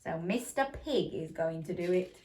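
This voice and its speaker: Woman storyteller for kids, lively pitch, roomy echoey recording. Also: normal speed. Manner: playful, interactive, animated. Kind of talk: bedtime story reading for children. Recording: roomy echo.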